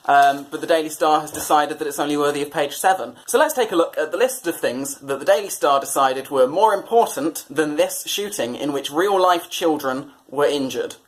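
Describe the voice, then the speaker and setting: A man's voice: fairly high pitch, normal speed, few pauses, poor audio. A British man presenting satirical commentary on newspapers in a sardonic, mock-exasperated way. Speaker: comedy presenter.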